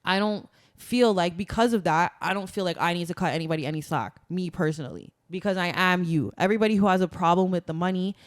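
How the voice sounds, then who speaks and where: Young woman, podcast guest, smooth clear tone, dry close sound, emphatic and chatty. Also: lowish voice, quick pace, hardly any pauses, no echo. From Toronto, Canada. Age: young adult. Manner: chatty and emphatic, many "like" fillers. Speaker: guest, carnival masquerader. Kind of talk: casual podcast conversation.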